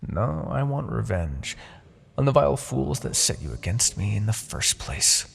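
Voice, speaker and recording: A man playing a proud, vengeful demon king in a fantasy roleplay, speaking with a gravelly, husky voice and a theatrical, deliberate, imperious manner. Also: medium pitch, big pitch swings, exaggerated voice. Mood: simmering rage. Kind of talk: fantasy ASMR roleplay monologue.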